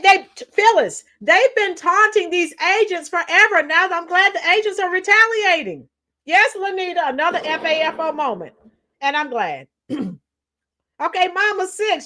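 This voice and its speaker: A middle-aged woman with a hoarse, tired voice chatting casually with livestream viewers, reading out comments and singing bits of songs. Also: very high-pitched, big pitch swings, moderate pace, pushed and bright. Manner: chatty, reads out comments and sings along to songs. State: hoarse, losing her voice. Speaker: livestream host.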